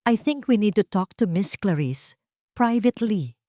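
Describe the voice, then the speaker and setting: A woman voicing a scheming businessman who feigns concern, soft-spoken yet pressing, in an audiobook drama. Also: medium pitch, lively intonation, measured pace, dry recording, studio quality, natural voice. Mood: feigned concern. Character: smooth scheming businessman, falsely concerned, gently insistent.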